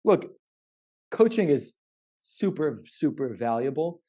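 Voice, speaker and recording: A man co-hosting a casual podcast, speaking earnestly and haltingly, often repeating words as he thinks. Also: medium pitch, big pitch swings, dark tone. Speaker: podcast co-host.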